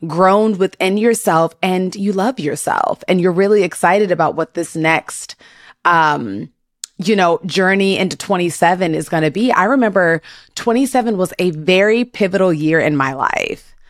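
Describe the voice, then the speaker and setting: A woman's voice: on the low side, normal speed, no echo, clean studio sound. A woman in her thirties hosting a casual interview, affirming and encouraging her guest in a reflective, conversational way. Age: 30s. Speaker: interview host. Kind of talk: casual supportive interview chat.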